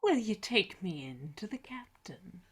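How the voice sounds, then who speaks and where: A woman's voice: mid-range voice, sing-song, breathy edge. A woman playing a menacing old blind beggar sailor in a radio play reading, with a fawning manner that can turn threatening. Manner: slow, fawning turning threatening.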